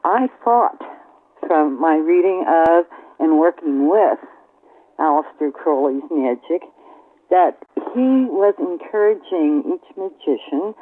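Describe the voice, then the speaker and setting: A middle-aged woman interviewed by telephone, explaining in deliberate, precise phrases over a narrow line. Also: sits low, slightly rough. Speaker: interview guest, occult author. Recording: tinny narrow phone line.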